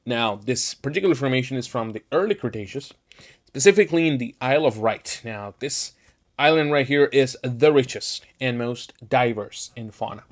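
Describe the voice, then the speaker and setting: A man's voice: medium pitch, moderate pace. Rambling enthusiastic male tier-list host. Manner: rambling and enthusiastic, heavy on filler phrases. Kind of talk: casual ranking commentary.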